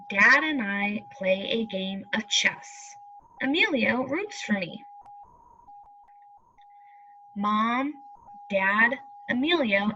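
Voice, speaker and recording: A woman teacher leads a read-aloud lesson in a bright, forward voice that sits a little high. She goes slowly and reassures her pupils, often checking in with a question. The recording is a little dull. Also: slight background noise. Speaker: elementary school teacher.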